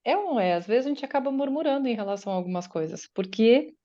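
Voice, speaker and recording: Mellow-voiced woman on a call. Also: fairly high pitch, lively intonation, fast talker, lo-fi sound. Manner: conversational, acts out listeners' doubts in quotes. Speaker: guest speaker and writer. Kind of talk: faith-based motivational talk. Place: online meeting. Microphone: video call.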